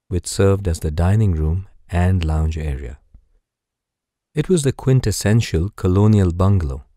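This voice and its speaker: A man softly narrating a guided sleep story in a soothing, unhurried, gentle manner, with a mellow voice. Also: deep voice, no room sound, clean studio sound.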